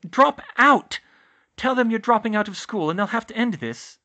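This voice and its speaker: A man's voice: sits high, animated, brisk, a bit bright, pitched above the performer's own voice. A man voicing a fierce, determined and protective teenage witch girl in a story, speaking in an urgent, emphatic way. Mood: defiant. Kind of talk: audiobook character voice.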